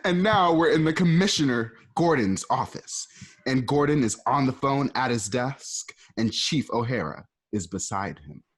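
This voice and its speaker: A man narrating stage directions for a radio play in a lively, playful way. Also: medium pitch, moderate pace, slightly rough. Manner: lively, playful, asks and answers his own questions.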